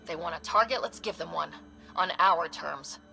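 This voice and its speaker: A woman's voice: fairly low pitch, normal speed, vocal fry, bright, forceful tone, soft background music, poor audio. A woman acting a resolute young space explorer commander in a video game, giving decisive, clipped orders.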